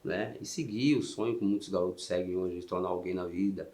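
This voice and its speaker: A man in his fifties from São Paulo with a São Paulo accent, answering interview questions in a soft, halting way with frequent "né" tags. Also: sits low, fairly even intonation. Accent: São Paulo Portuguese. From São Paulo, Brazil. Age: 50s. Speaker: interview guest, Paralympic swimmer.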